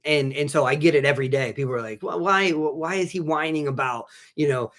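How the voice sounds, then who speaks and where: A man with a slightly raised pitch, hosting a live stream about skin care. His voice is a little raspy from allergies, and he rambles warmly through fillers and restarts as he greets viewers by name. It sounds casual and unscripted. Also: brisk. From Southern California. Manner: rambling, many restarts and fillers, reads chat names aloud. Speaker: physician assistant and channel host.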